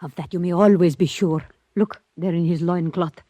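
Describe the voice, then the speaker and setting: An actress playing a mysterious foreign woman in an old radio adventure, bold and flattering, speaking in formal, exotic phrases. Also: on the low side, moderate pace, no room sound, lightly acted. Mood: admiring, seductive. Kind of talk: 1940s-50s radio adventure acting. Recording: muffled old-time radio recording.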